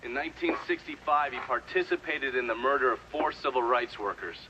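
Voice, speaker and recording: A man's voice: mid-range voice, a little fast, a crowd in the background, natural voice. A man acting a defiant, foul-mouthed witness in a game, speaking bluntly and with sardonic scorn. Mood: scornful. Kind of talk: documentary-style game testimony. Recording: dull, noisy vintage-style recording.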